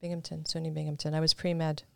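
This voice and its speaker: A woman with a smooth, clear voice talks casually as a podcast guest. She is animated and often interjects, and the sound is close and dry. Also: deep voice, fast, no echo. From Brooklyn, New York.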